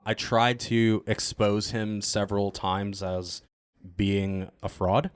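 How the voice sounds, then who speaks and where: A man with a fairly low voice chats as a cast member in a relaxed Q&A. He is informal and jokey, working out his answers aloud. The sound is very dry, with no room on it. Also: takes it slowly, smooth, dry recording. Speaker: cast member of an improvised audio drama, answering questions. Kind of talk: casual Q&A chat.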